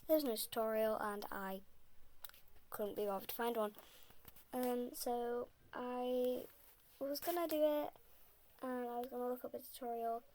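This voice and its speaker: Soft-spoken young woman, slightly sick. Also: a little high, steady tone, unhurried, pauses often, slight background noise. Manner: soft, rambling, often half-whispered. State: a bit sick. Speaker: game building creator. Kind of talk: casual voice-over tutorial.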